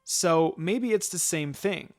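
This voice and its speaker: A man's voice: a little high, animated, takes it slowly. A man voicing a teasing, outspoken teenage girl in a fan fiction story. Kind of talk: fan fiction character dialogue.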